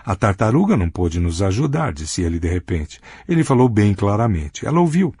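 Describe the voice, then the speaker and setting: A man with a husky voice reading a novel aloud as an audiobook narrator, giving characters small voice shifts in dialogue. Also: lowish voice, very animated, a little fast, darkish tone, dry recording. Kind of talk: scripted narration.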